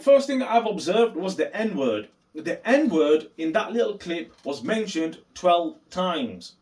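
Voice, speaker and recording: A man giving opinion commentary as a reaction video host, speaking emphatically and deliberately and repeating points for stress. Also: high-pitched. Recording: voice distant from the mic.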